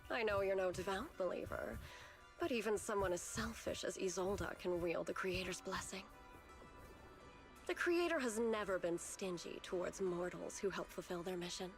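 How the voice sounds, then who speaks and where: A woman acts out a cold, devout villainess from a video game. Her voice sits at a middle pitch, solemn and haughty, with measured phrasing. Music plays clearly behind her. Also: natural voice. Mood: reverent, persuasive. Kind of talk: scripted game voice acting.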